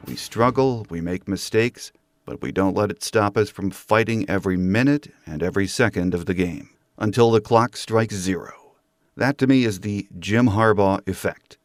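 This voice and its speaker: A man's voice: on the low side, dry recording, studio quality. An American man hosting a sports podcast, delivering prepared commentary and introducing his guest. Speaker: podcast host. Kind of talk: sports podcast hosting, scripted monologue and interview.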